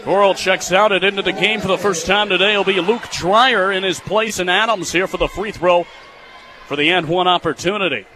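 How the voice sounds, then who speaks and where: A young American man calling live basketball on radio as play-by-play broadcaster, in clipped phrases and excitable on big plays. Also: high-pitched, brisk, crowd noise. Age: young adult. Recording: clearly noisy live sports venue sound.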